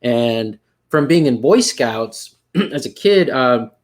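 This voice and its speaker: A man's voice: medium pitch, animated, slow pace. A man in his thirties with a South Texas accent, hosting a video-call panel and talking in rambling, self-correcting turns with Spanish words mixed in. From Rio Grande Valley, South Texas. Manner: rambling, many restarts, mixes Spanish words. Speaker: panel host. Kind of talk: casual panel discussion. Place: home room. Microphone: headset on video call.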